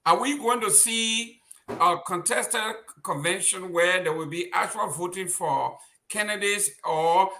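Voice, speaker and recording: A man's voice: sits high, a little slow, sharp tone, a little hiss or hum. A man in his sixties hosting a political talk show, asking teasing questions and often laughing. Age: 60s. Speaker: show host. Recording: dull lo-fi audio.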